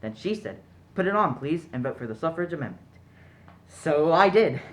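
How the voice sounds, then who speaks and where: A man's voice: a little high, very animated, moderate pace, a bit bright. A man playing a genial, naive upper-class young suitor in a radio play, jolly and self-deprecating. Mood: sheepish, genial. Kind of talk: stage-style radio play acting.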